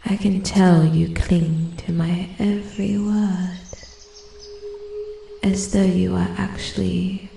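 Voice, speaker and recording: Slow, soft ancient forest spirit, acted by a woman. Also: fairly low pitch, level tone, roomy sound, heavily acted. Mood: intrigued, condescending. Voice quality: soft, breathy, often dropping to a whisper. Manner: slow, measured, formal and condescending. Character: ancient immortal female forest spirit, haughty, intrigued, possessive. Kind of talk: soft-spoken ASMR roleplay. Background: ambient forest sounds, birdsong.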